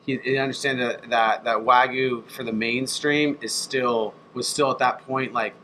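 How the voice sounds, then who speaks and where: A man with a bright, forceful voice talks loosely and swears often, slipping into other people's voices to tell a story. He speaks at an everyday middle pitch. Faint ambient noise sits behind him. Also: fairly even intonation, a little slow, poor audio. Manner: swears freely, acts out other people's messages. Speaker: chef and brand founder. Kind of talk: casual interview talk.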